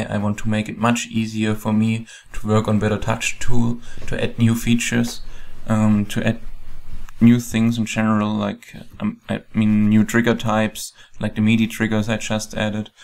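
Calm German-accented man explaining software. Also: on the low side, measured pace, gravelly. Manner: calm, plain explaining with frequent ums. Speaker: software developer. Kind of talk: screen-recorded software walkthrough.

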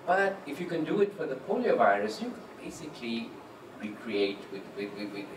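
A man on a panel tells his stories in an animated, anecdotal way. His voice sits at a middle pitch and comes across from a distance. The big hall echoes around him, with room noise underneath. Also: normal speed. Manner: animated, quotes people vividly. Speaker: author on a panel. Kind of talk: panel discussion, storytelling. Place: large lecture hall with audience. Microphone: handheld mic.

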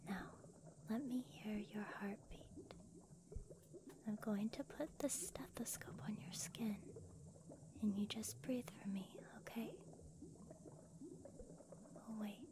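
Casting: actress as gentle young female demon-slayer medic, whispered ASMR delivery, unhurried and soothing. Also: mid-range voice, lightly acted. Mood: soothing, attentive.

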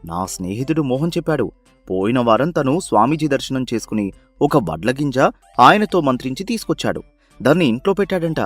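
A man's voice: mid-range voice, sing-song, brisk, no room sound, faint music behind. A man voicing an earnest young son in a cartoon moral story, respectfully persuading his father. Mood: persuasive.

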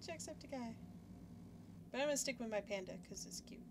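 A woman's voice: fairly high pitch, expressive, fairly quick. A young woman giving casual, self-mocking commentary while playing a game, thinking aloud as she goes. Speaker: gaming YouTuber. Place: home setup, webcam in corner. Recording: loud background noise.

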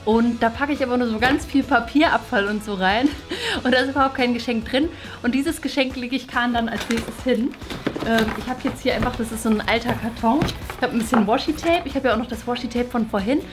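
A woman's voice: a little high, brisk. A young woman hosting a prank vlog, talking casually and playfully to camera. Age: young adult. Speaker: prank show host. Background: music.

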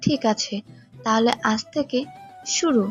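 A woman's voice: fairly high pitch, unhurried, muffled recording, soft background music, close to an everyday voice. A woman voicing a chatty, innocent little schoolgirl in an audio story. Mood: eager, friendly.

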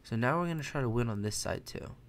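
A man's voice: mid-range voice, lively intonation, fast, slight background noise. Young man, chess streamer, thinking aloud, chatty, desk mic in bedroom. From America. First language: Mandarin. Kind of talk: casual live commentary while playing. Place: bedroom at home, indoors.

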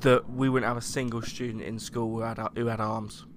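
A man's voice: mid-range voice, flat delivery, fairly quick, a little hiss or hum. A British man co-hosting a podcast with casual, joking banter. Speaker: podcast co-host.

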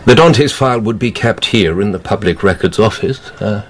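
A man playing a disguised nobleman in a radio drama, speaking in a measured, deliberate way. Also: lowish voice, slight background noise, close to an everyday voice. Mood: calm. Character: cool, calculating disguised nobleman.

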